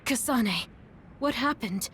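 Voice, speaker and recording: Young female game soldier, fairly high voice, serious and practical. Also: animated, takes it slowly, background noise. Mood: troubled. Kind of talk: video game cutscene voice acting. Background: music.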